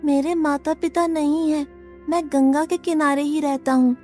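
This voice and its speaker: A woman as a high-voiced stubborn young boy. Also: steady tone, a little slow, darkish tone, faint music behind. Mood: matter-of-fact. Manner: innocent, blunt, bargaining. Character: naive, stubborn, food-loving orphan Brahmin boy. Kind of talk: animated devotional story dialogue.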